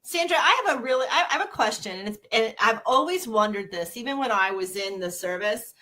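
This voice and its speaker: Female co-host, bright slightly high voice, playful and lively. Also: a little fast. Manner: lively, laughing, playful interjections. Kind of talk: casual podcast chat.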